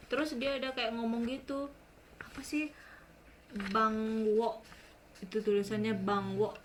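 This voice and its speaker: A woman's voice: fairly high pitch, level tone, measured pace, noisy background. A woman hosting a casual interview, curious and prompting with questions. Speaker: host, interviewer.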